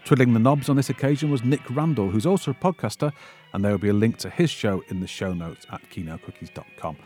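A man's voice: mid-range voice, animated, fast talker, darkish tone, faint music behind. A man hosting a film podcast, talking to guests and to listeners. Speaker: podcast host and producer. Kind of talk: Q&A chat and scripted narration.

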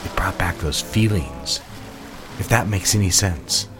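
Period romance husband, male actor: man, deep voice, devoted yet guarded, formal and courteous, music clearly underneath. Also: lively intonation, unhurried. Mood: troubled, confessing. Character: devoted, guarded new husband with troubled past. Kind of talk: audio drama dialogue, period romance.

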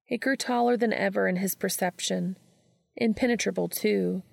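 Casting: female fiction narrator, lowish pitch, heavy vocal fry, close dry recording. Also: a little mellow, no echo. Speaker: fan fiction audiobook reader. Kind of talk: scripted story narration.

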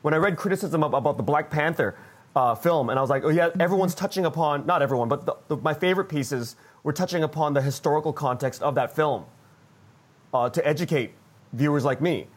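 A man with a fairly high voice chats as a panelist at a roundtable. His delivery is informal and halting, and he often starts a sentence over mid-thought. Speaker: roundtable panelist, audio drama creator. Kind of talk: casual discussion.

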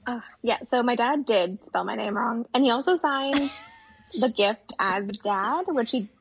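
A woman's voice: a little high, measured pace, slight background noise. A young woman talking as a guest in a casual conversation, with a chatty manner full of fillers. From United States. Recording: dull lo-fi audio.

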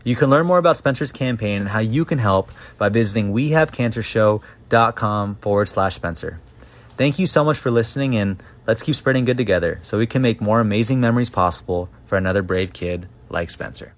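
A man's voice: on the low side, animated, fairly quick. A man reading a scripted charity appeal in an earnest manner.

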